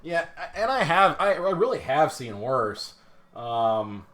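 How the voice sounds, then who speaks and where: A man's voice: a little high, sing-song, slow pace, a bit bright. Rambling man narrating a pinball teardown. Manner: rambling, lots of fillers and restarts, self-deprecating. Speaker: pinball restorer streaming a teardown. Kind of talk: casual live-stream show-and-tell.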